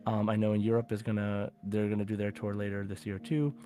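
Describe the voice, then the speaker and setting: A man's voice: on the low side, flat delivery, quick pace, mellow. Casual male podcast host over music. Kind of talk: casual podcast chat about a video game.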